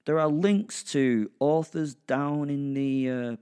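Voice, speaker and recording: A man's voice: a little high, takes it slowly, mellow, smooth. A man hosting a podcast, introducing it informally in a rambling, wry way. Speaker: podcast host.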